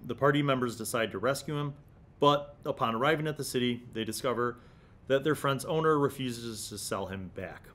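A man's voice: medium pitch, fairly quick, fairly bright tone. A man hosts a gaming review series from an indoor studio at a desktop microphone, reading articles aloud and adding emphatic, conversational commentary. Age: 40s.

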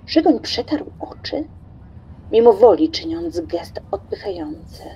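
A woman's voice: on the low side, big pitch swings, measured pace, dark tone, husky, dull audio. A woman reading scripted literary narration for an audio drama.

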